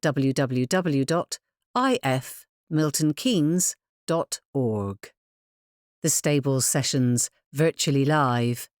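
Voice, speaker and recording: A woman giving scripted audio description in a measured, clearly enunciated reading manner. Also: sits low, darkish tone, dry recording, studio quality.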